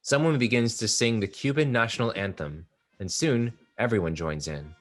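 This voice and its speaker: Deep-voiced man reading stage directions. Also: faint music behind. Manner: measured, descriptive. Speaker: narrator.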